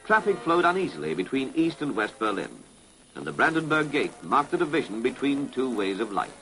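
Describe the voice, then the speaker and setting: British man narrating a 1960s newsreel, animated pitch. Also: a little fast, rough recording. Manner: formal, authoritative delivery. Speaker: documentary narrator. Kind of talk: 1960s public information film narration. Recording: vintage 1960s film soundtrack, music behind.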